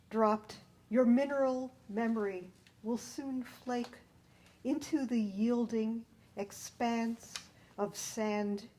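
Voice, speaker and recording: A woman reading her own poem at a live event, in measured, short, deliberate phrases. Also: mid-range voice, darkish tone. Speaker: poet reading own work. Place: live public reading at a library. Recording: noticeable room noise.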